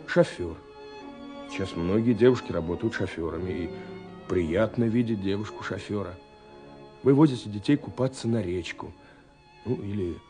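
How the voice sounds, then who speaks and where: A man's voice: fairly low pitch, dark tone. An actor playing a dreamy, romantic young man in a radio play, musing tenderly over his love letters in a voice that often sinks toward a whisper. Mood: playful wondering. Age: young adult. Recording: 1970s radio recording with music behind. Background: music.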